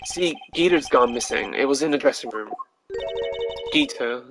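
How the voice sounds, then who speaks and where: A woman's voice: very deep voice, lively intonation, moderate pace, over music, pitched below the performer's own voice. A woman voicing a laid-back, mildly aggrieved male rock guitarist in a video game, with slangy, casual phrasing.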